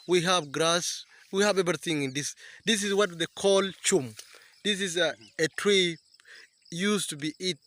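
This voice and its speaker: Männlicher TV-Moderator, hell und hoch, spricht im Freien zu den Zuschauern. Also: male voice, a little high, sharp tone. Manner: presenter-like, addressing viewers. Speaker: TV interviewer. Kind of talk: outdoor TV interview. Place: outdoors by a river in rural bushland.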